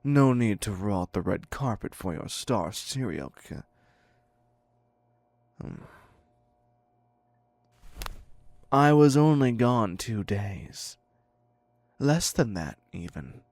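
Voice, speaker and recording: A man's voice: on the low side, lively intonation, slow pace, long pauses, darkish tone, no room sound. A man playing a theatrical, clingy serial killer in an audio roleplay, wry and mock-courteous as he dotes on his captive. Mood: sardonic, grandiose. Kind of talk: scripted dark romance audio roleplay.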